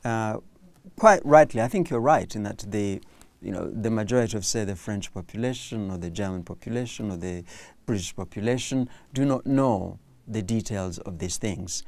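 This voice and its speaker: A man's voice: fairly low pitch, moderate pace, vocal fry, a little mellow, no echo. A man hosting an interview, thinking aloud and often restarting his sentences. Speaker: interview host. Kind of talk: interview conversation.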